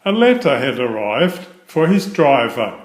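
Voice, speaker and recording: Slow, animated male storybook narrator. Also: medium pitch, roomy sound, low-quality recording. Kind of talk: read-aloud children's story, scripted narration.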